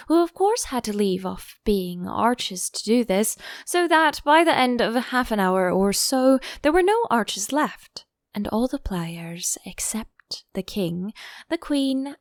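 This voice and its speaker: Animated woman narrating, rather high voice. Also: dry recording, studio quality. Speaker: audiobook narrator. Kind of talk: expressive scripted storybook narration.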